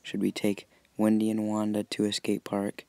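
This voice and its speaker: A young man talks over his bike-riding footage in a low, gravelly voice. He sounds easygoing and a little apologetic. His thoughts ramble as they come to him. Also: monotone, normal speed, darkish tone, dry recording. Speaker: vlogger. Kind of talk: casual voice-over narration.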